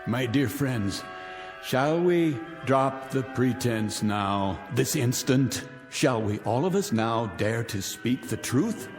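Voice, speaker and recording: A man's voice: mid-range voice, expressive, slow pace. A middle-aged actor playing a vindictive rich man taunting his guests in 1960s television drama style, mocking and grandiloquent. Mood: smooth, imperious. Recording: vintage TV soundtrack, reverberant, music behind.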